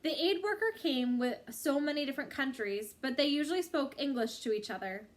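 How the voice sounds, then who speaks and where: A woman reading a book chapter aloud in a measured, expressive storytelling style with drawn-out phrases. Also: high-pitched.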